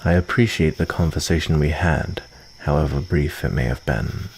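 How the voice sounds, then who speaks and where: A man playing a calm, lonely, guarded vampire of the forest in an ASMR roleplay, with formal, dryly teasing phrasing. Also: a bass voice, gravelly, slight background noise, rough recording. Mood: resigned, guarded. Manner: unhurried, soft-spoken formal phrasing with dry teasing. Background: cricket ambience.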